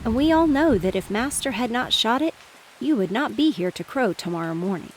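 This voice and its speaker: A teasing storybook dog, read by a woman, unimpressed and gently scolding. The pitch moves around a lot, and there is a light hiss on the recording. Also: expressive, a little fast, close to an everyday voice, low-quality recording. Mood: wry teasing. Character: teasing, sceptical barnyard dog, unimpressed by boasting.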